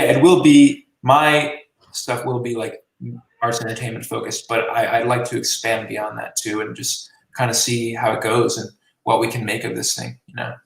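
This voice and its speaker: A man's voice: medium pitch, creaky, far from the mic. A man in his late twenties, a musician and journalist being interviewed, talking casually and rambling, with many fillers. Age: 20s. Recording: roomy and from the mic.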